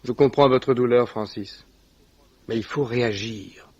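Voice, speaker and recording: Earnest young gentleman, muffled sound. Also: medium pitch, unhurried, husky. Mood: grave, consoling. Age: young adult. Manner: formal, earnest. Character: rich, loyal, earnest young heir consoling his friend. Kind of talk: 1950s French radio drama acting. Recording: dull old radio recording.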